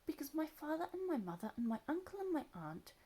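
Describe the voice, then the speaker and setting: A woman's voice: high voice, big pitch swings, a little fast, warm tone, voice pushed up. A woman voicing a curious, very polite young male elephant calf in a storybook, in a raised, eager voice. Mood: earnest, polite.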